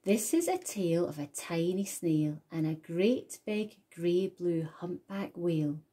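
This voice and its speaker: Woman storyteller, mellow and breathy, deliberate rhythmic delivery, expressive children's read-aloud. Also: on the low side. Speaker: storyteller reading a children's picture book. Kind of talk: expressive bedtime story reading.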